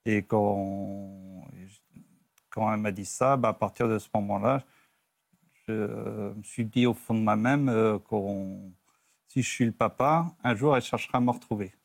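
A middle-aged man, a talk show guest recalling his own life in a halting, plain-spoken, casual way. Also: lowish voice, steady tone, creaky, no room sound. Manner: halting, plain-spoken, often tags phrases with a filler. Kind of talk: casual personal recollection. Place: TV studio.

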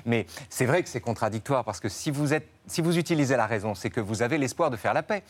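Male guest, lively and expressive, mid pitch, debates ideas on a talk show. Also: fast. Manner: animated, quotes people in put-on voices. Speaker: author guest. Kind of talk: studio discussion.

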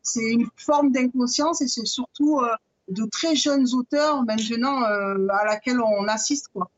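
A woman lawyer speaks over a video link that sounds dull and dry. She answers in a measured way at a middle pitch and often pauses on fillers. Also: no echo. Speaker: lawyer and victims' collective spokesperson. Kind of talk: studio debate guest. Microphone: video call. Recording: muffled dry video call.

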